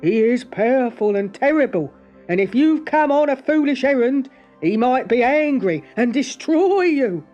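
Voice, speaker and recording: A woman's voice: high-pitched, animated, normal speed, dark tone, highs cut off, faint music behind. A woman voicing a solemn little male gatekeeper in a children's storybook, emphatic and full of warning. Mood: curious, warning.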